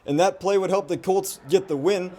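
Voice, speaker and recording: Man in his twenties, American accent, slightly high pitch, sports reporting and commentary. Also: moderate pace, warm tone, slight background noise. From United States. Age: 20s. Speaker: student sports reporter and play-by-play announcer. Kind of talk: sports reporting, highlight reads and play-by-play commentary.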